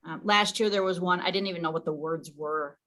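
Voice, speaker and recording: A woman's voice: lowish voice, brisk, a bit bright. A woman leading an informal online meeting, chatty and often interrupting herself as she briefs the group. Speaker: meeting leader and organizer.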